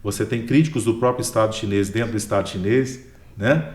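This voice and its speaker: A man's voice: on the low side, brisk, a little mellow. A man with a Brazilian Portuguese accent, a history professor explaining his work in an interview, who tags most sentences with a questioning "né?". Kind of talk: relaxed explanatory talk. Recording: roomy echo.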